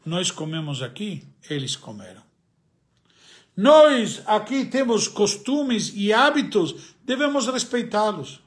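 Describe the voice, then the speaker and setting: Man, Argentine accent, Spanish native, high pitch, religious teacher, questions and answers himself. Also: sing-song, slow. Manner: asks and answers his own questions, with many hesitations. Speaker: rabbi teaching the weekly Torah portion.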